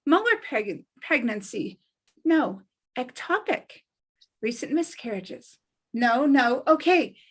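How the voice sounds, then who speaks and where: A woman's voice: high voice, animated, slow pace, husky, exaggerated voice. A woman acting an earnest, disbelieving young woman in a comedy drama table read, insistent and flustered.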